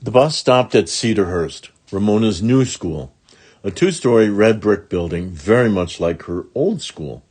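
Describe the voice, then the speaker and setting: A man's voice: on the low side, animated, unhurried, a little mellow, breathy edge. A man narrating a children's storybook expressively, with dramatic emphasis on words. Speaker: children's book read-along narrator.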